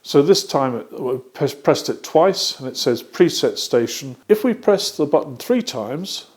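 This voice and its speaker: A British man presenting a product demonstration step by step, explaining methodically and often pausing mid-sentence. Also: a little high, expressive, a little mellow.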